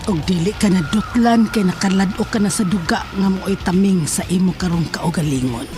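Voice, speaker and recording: An actress playing an intense, confident mystic healer in a horror radio drama, speaking in a dramatic, deliberate way. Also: female voice, mid-range voice, background noise, lightly acted. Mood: intense, ominous.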